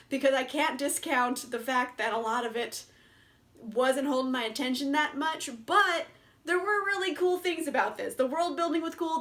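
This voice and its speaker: A woman's voice: sits high, a bit bright. A young woman casually reviewing books in a chatty vlog, laughing often and swearing freely. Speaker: book reviewer.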